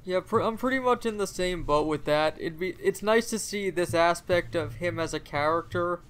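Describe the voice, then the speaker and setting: Man, lighter higher pitch, clean resonant tone, casual podcast co-host. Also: normal speed, a bit bright, smooth. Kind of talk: casual fan chat.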